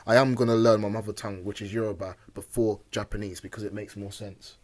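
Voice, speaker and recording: A young man co-hosting a podcast in casual chat, easygoing and hesitant, on a boom-arm mic in a small home room. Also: flat delivery, fast talker. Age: young adult. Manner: easygoing, agreeable, hesitant with many ums. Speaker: podcast co-host. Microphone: studio mic on boom arm.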